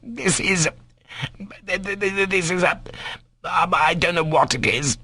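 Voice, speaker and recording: A man's voice: sits high, a little slow, sharp tone, husky, no echo, voice pushed up, exaggerated voice. A man voicing a pompous, easily angered doctor in a storybook, haughty and prone to stammer and repeat himself. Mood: indignant fury. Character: pompous, easily enraged male doctor, sputtering with indignation.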